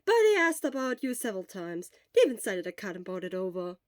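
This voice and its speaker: A woman's voice: mid-range voice, very animated, fast, dry recording, studio quality, natural voice. A woman playing a cheerful, teasing young man, an exuberant best friend in an audio story. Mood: chatty, upbeat.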